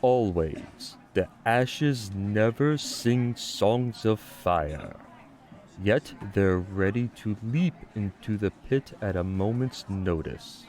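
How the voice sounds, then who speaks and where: A man playing a solemn, cryptic skeletal god in an audio drama, making slow and grandiose oracular pronouncements. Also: lowish voice, animated, a little mellow. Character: solemn, cryptic skeletal coffee god granting time travel.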